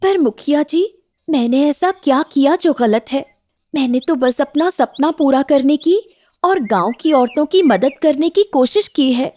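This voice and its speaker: A woman's voice: high voice, lively intonation, measured pace, darkish tone. A woman voicing an earnest, determined young village shopkeeper in a cartoon, respectful and pleading.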